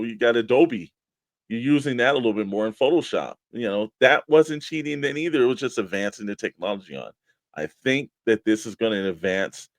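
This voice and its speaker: A man's voice: mid-range voice, no room sound. A man co-hosting a casual talk show about a hobby, speaking in an informal, rambling way. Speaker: show co-host. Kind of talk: casual chat about toy collecting.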